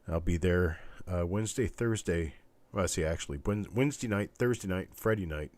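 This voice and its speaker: A man hosting a casual live online auction stream, chatting in a rambling, halting, folksy way with a scratchy, stuffed-up voice weakened by illness. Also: sits low, a little mellow. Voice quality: congested, stuffed-up nose. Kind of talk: casual livestream chat with viewers.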